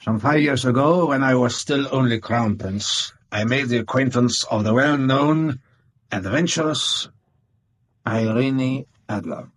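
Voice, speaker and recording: Man playing husky, imperious Bohemian king. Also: mid-range voice, unhurried, close to an everyday voice, rough recording. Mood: grave, formal. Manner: pompous, formal, imperious. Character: proud, imperious Bohemian king, formal and haughty. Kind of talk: radio drama acting.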